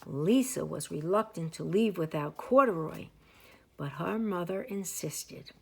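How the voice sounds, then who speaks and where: A woman reading a picture book aloud as a teacher to young children, with expressive, drawn-out emphasis. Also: fairly low pitch, vocal fry, a little hiss or hum. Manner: animated, with slow drawn-out emphasis; asides and explanations for young listeners.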